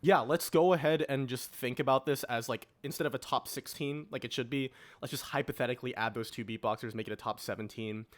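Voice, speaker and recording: A man's voice: mid-range voice, steady tone, brisk, few pauses. A man in his early twenties hosting a casual podcast discussion, informally steering and organizing the conversation. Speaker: podcast host.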